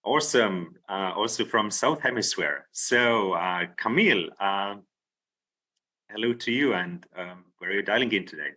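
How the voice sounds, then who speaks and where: Bright-voiced man hosting a video call. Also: on the low side, normal speed, rough recording. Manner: relaxed, many fillers. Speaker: session host. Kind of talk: casual video call moderation.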